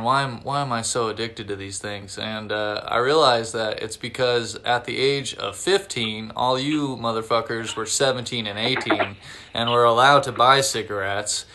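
A man's voice: mid-range voice, bright, forceful tone. A man hosting a podcast, joking around in casual conversation. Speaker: podcast host.

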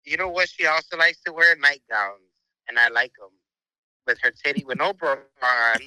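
Man on video call, very bright, smooth voice, flirty teasing banter, dry sound. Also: on the high side, normal speed, dry recording, poor audio. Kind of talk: casual banter.

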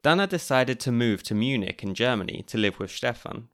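A British man narrating his podcast from a script, with a wry, chatty delivery. His voice sits at an ordinary middle pitch. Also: lively intonation, a little fast, fairly bright tone. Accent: British English. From Britain. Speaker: podcast host.

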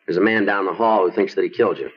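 A man playing a terse, unflappable police detective in a deadpan, clipped American 1950s radio drama style. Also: low-pitched, rapid-fire, husky. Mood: probing, flat. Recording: dull noisy old radio recording.

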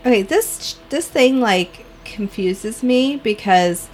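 A woman's voice: a little high, expressive, slow, soft background music. Chatty woman with a Chicago accent. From Illinois. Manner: chatty, giggly, rambling asides. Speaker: podcast co-host. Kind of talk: casual podcast chat.

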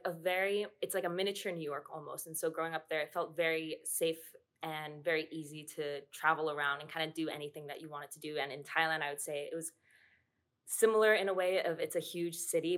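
A young woman from Hong Kong, a musician answering interview questions in a thoughtful, steady way. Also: low voice. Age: young adult. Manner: thoughtful, steady, uses "I would say". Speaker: podcast guest, musician.

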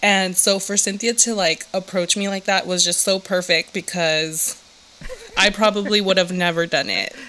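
A woman's voice: mid-range voice, fairly even intonation, normal speed, bright, forceful tone, a little hiss or hum. A young woman from Southern California, co-hosting a podcast and chatting casually, laughing often and saying "like" a lot. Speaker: podcast co-host. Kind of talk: casual chat.

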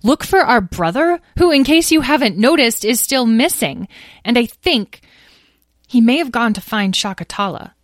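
A woman's voice: medium pitch, lively intonation, brisk, few pauses, a bit bright, no echo, studio quality, voice pushed up, natural voice. A woman voicing an exasperated, bossy young dragon sister in a storybook tale, with indignant, emphatic delivery. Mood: insistent, irritated.

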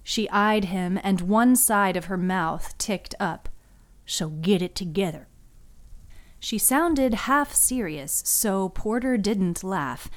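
A woman's voice: medium pitch, animated, slow pace, few pauses. A woman hosting and narrating a fiction podcast in a scripted storytelling style.

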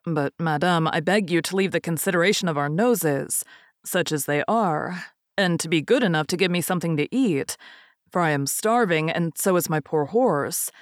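A woman's voice: on the low side, normal speed, no room sound, clean studio sound, natural voice. A woman voicing a proud young storybook prince, formal and courtly in his speech but hungry and impatient. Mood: indignant, courteous.

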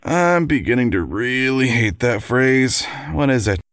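An actor playing a devoted, argumentative lawyer husband in an audio drama, with wry courtroom-style banter. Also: male voice, medium pitch, expressive, measured pace, a bit bright, dry recording, studio quality.

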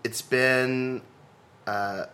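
A man from Texas, a comedian and speaker, chatting as a podcast guest with a loud, animated delivery and frequent laughter. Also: mid-range voice, drawn-out words. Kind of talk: casual conversation.

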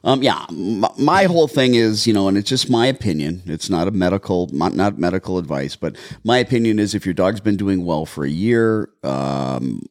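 Low-voiced, animated male dog trainer. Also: moderate pace, hardly any pauses, no echo, clean studio sound. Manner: explanatory, emphatic, with many ums. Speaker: dog trainer, podcast co-host. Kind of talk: casual podcast chat.

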